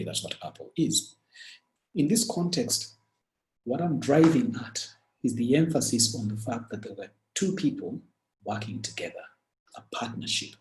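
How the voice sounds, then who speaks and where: A man with a Ugandan accent gives a webinar talk and walks his listeners through business stories. His delivery is earnest and lively, and his pitch sits in the middle range. The room around him sounds echoey. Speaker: webinar speaker.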